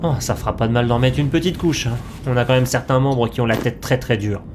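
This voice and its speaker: A man's voice: mid-range voice, fast talker, noisy background, natural voice. A man playing a stern, exasperated leader of a holiday group in a French comedy audio drama, firm and authoritative. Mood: concerned.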